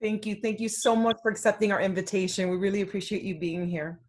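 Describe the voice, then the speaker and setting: Woman, panel moderator, middle pitch, reflective, long flowing sentences. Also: little pitch movement, quick pace, a little mellow. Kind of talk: hosting an online panel discussion.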